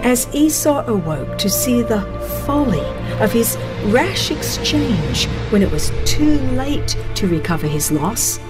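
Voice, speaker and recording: A woman's voice: fairly high pitch, very animated, takes it slowly, hardly any pauses, music in the background. A woman narrating a religious book in a solemn, formal, dramatized reading. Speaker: audiobook narrator.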